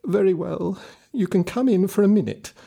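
A man voicing a nervous old woman servant in a storybook reading, with a high fluttery tone. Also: moderate pace, vocal fry, warm tone, breathy edge, heavily acted. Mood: reluctant.

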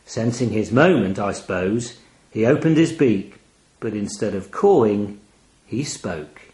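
A man reading a first-person literary short story in an expressive, measured, wry manner. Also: lowish voice, roomy sound, rough recording. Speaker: audio story reader.

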